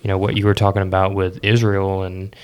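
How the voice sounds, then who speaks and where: A man hosting a podcast, explaining things in a casual, halting and tentative way. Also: sits low, monotone, a little mellow, clear, resonant tone. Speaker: podcast host. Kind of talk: casual chat.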